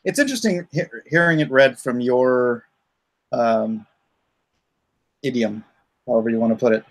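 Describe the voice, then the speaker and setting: A man's voice: mid-range voice, moderate pace. A man hosting a casual livestream chat, rambling and thinking aloud. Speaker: comic artist hosting a livestream.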